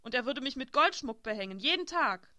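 A woman reading a historical novel, voicing a young woman who pleads in a high, heated voice. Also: animated, brisk, pushed and bright, dry recording, clean studio sound. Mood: insistent. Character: young woman, tearful then furious.

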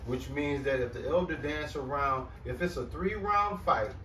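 A man's voice: on the high side, moderate pace, pushed and bright, slight background noise. A man in a heated group talk, hyped and egging the others on, often laughing. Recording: roomy, mic far off.

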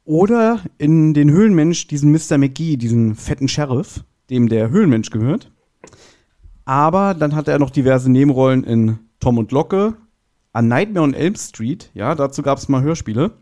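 A man's voice: fairly high pitch, lively intonation, normal speed, dark tone. A man talking casually as a guest on a review podcast.

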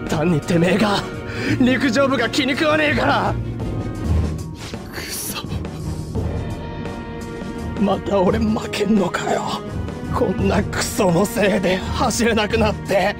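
A man's voice: high-pitched, slow pace, pauses often. Hotheaded delinquent teen boy for an anime game, coarse slangy talk, music behind him. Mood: bitter, angry. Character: hot-headed rough-talking teenage delinquent boy. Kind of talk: Japanese anime-game dramatic acting.

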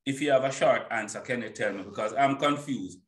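A man's voice: medium pitch, fairly quick. A middle-aged man hosting a casual, opinionated interview, emphatic and repeating himself for stress. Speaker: interview host. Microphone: boom-arm microphone, headphones. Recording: roomy, echoing home microphone.

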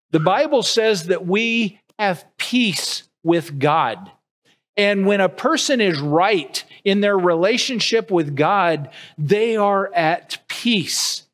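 A middle-aged pastor preaching in a church sanctuary, his voice sitting high for a man. He speaks slowly and earnestly, restarting phrases as he builds his points. The sound is picked up by a podium mic. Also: a bit bright. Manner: earnest preaching with wide arm gestures, frequent restarts. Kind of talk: sermon. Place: church sanctuary with empty pews. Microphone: podium mic at a distance, far camera.

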